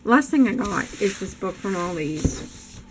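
A woman's voice: medium pitch, lively intonation, unhurried. An older woman in a casual craft haul vlog, chatty and enthusiastic, losing her voice, filmed over her craft table at home. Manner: chatty, enthusiastic, frequent laughs. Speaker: crafting vlogger. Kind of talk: casual haul show-and-tell. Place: craft room table, indoors. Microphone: camera above a craft table. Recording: noisy home recording.

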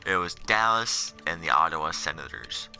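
Very deep-voiced teenage guy, tired, bright edge. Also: lively intonation, normal speed, gravelly, clear, resonant tone. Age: late teens, seventeen. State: tired, up in the middle of the night. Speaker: video gamer narrating his career mode. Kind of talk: casual gameplay commentary, rambling. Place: voice-over on game menu footage. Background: music.

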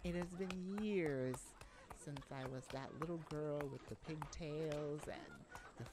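A woman voicing a brave but worried young princess in an ASMR audio roleplay, gentle, earnest and a little hesitant. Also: sits low, measured pace, background noise. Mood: wistful, uneasy.

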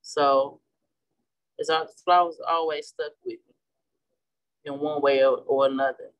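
A woman's voice: sits low, measured pace, low-quality recording. A woman in her thirties from Missouri with a Midwestern accent, chatting casually as she demonstrates painting at a flower shop table. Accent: Midwestern American. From St. Louis, Missouri. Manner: chatty, relaxed, restarts often while working. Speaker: florist and class instructor. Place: indoor flower shop worktable.

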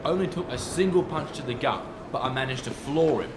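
A man's voice: mid-range voice, brisk, close to an everyday voice. An actor playing a boastful young male street thug with a slangy, swaggering way of talking in an audio drama. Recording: echoey room with clear noise.

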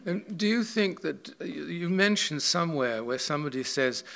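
An older man interviewing a guest onstage, asking long, meandering questions full of pauses and fillers. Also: a little high, lively intonation, gravelly, fairly bright tone. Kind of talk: onstage festival conversation. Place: theatre stage with audience. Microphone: table mic on a stand.

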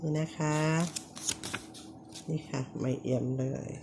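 ผู้หญิงเสียงทุ้มต่ำ พูดสุภาพช้าๆ. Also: female voice, a bass voice, steady tone, slow, creaky, background noise. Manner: polite, repetitive pointing out with soft ending particles. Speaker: vlogger showing how to clean banknotes. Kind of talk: casual how-to demonstration.

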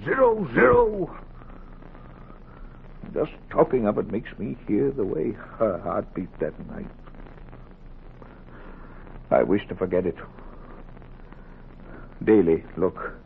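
Gruff, gravelly old-school doctor. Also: male voice, on the low side, sing-song, slow, pauses often, slight background noise, full character voice. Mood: bitter, agitated, then sorrowful, earnest. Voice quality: gravelly, husky. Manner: gruff, volatile, weary. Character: gruff, grieving older Southern doctor and surgery teacher. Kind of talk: 1940s radio acting. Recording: tinny old 1940s radio recording.

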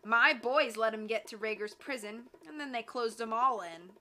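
Male villain played by a woman narrator: angry, gloating gang boss, sarcastic bark, forceful delivery, audiobook. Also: very high voice, normal speed, a little hiss or hum, heavily acted. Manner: barking, sarcastic.

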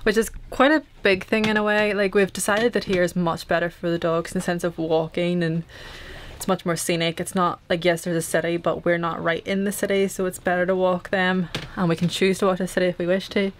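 A woman's voice: on the low side, flat delivery, a little fast, a little hiss or hum. A young woman vlogging casually from a small boat cabin, chatty and rambling with lots of fillers. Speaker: sailing vlogger. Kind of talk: casual chat to camera. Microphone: handheld camera at arm's length.

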